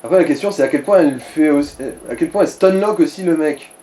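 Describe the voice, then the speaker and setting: A man's voice: on the high side, quick pace, warm tone. A man chatting casually over a video game, explaining and advising the player. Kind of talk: casual chat over gameplay. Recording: echoey room, game music behind.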